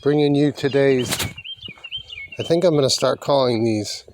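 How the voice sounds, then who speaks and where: A man giving a rambling, folksy and wry walking vlog monologue, speaking haltingly and with strong opinions as he walks outdoors along a road. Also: medium pitch, noisy background. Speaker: vlogger.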